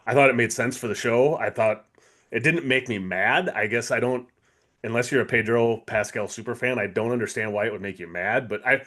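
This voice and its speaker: A man's voice: medium pitch, fairly quick, a bit bright. Informal man chatting on a podcast. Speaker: podcast co-host. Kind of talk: casual chat.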